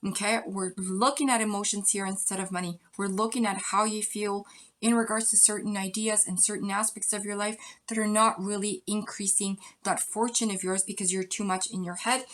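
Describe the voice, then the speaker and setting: A woman's voice: mid-range voice, fairly even intonation, fairly quick. A woman giving a pick-a-card tarot reading, speaking straight to the viewer in a coaching tone with frequent ums. She is losing her voice. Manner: warm direct address with many ums, coaching tone.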